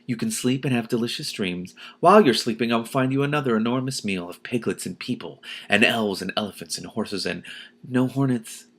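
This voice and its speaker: Man reading as a clever captive heroine, creaky-voiced. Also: medium pitch, a little fast, lightly acted. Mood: soothing, lulling. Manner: persuasive, coaxing. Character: desperate, clever captive young heroine coaxing ogres.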